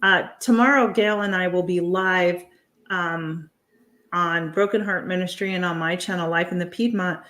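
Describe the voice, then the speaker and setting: A woman's voice: on the low side, fairly even intonation, normal speed, fairly bright tone. An American woman appearing as a guest on a casual live chat, friendly and chatty. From United States. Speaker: guest homesteader.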